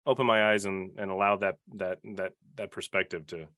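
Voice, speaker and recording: Low-voiced man in casual panel talk. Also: fairly even intonation, a little fast, pushed and bright, dry recording, studio quality. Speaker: podcast panel host.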